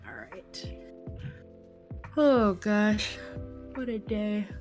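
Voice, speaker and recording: A woman's voice: medium pitch, drawn-out words, soft background music. A woman hosting a live drawing stream, chatting casually, laughing often and swearing freely, a bit sniffly from a head cold. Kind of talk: casual chat while drawing.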